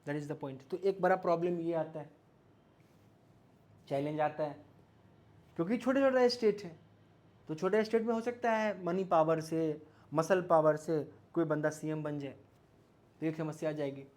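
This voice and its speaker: A man's voice: on the high side, measured pace, long pauses, a little mellow. A man with an Indian accent giving an informal explanatory lecture as a teacher, often posing questions and checking in with listeners. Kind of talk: informal explanatory lecture mixing Hindi and English.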